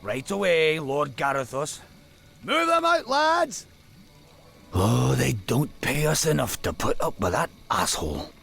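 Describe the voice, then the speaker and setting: A man voicing a gruff, put-upon soldier in a video game, with a gravelly voice. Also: on the high side, sing-song, measured pace, fairly bright tone, exaggerated voice. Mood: dutiful, grumbling. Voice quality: husky, gravelly. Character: grumbling, put-upon human soldier under a haughty lord. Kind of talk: dramatic video game acting.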